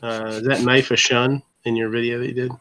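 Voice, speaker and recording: A man's voice: medium pitch, little pitch movement, fairly quick, a bit bright, low-quality recording. A man chatting casually and teasingly with a group of friends.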